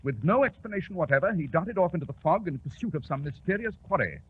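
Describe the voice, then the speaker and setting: An actor playing an incisive, self-assured British detective in precise, authoritative 1940s radio drama style. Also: male voice, fairly high pitch, sing-song, quick pace, breathy edge, full character voice. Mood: grave, precise. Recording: scratchy 1940s radio broadcast, narrow band.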